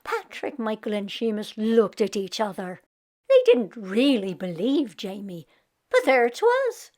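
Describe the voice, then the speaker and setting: Lady reading a kids book out loud, expressive, voice a bit on the high side. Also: unhurried. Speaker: read-aloud storyteller for children. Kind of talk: expressive scripted storybook narration.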